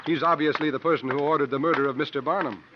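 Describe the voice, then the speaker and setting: A man's voice: a little high, fairly even intonation, rapid-fire, background noise, natural voice. An actor playing a confident, articulate travelling strongman and sleuth in a 1950s radio drama, with formal theatrical phrasing. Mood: assured, probing. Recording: muffled vintage 1950s radio recording.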